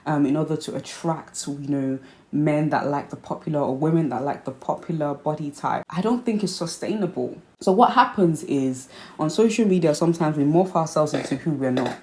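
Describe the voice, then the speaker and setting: Low-voiced woman, hybrid Nigerian accent. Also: moderate pace, darkish tone. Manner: earnest, explains by repeating points. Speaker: vlogger host. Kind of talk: casual chat to camera. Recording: echoey room with faint ambience.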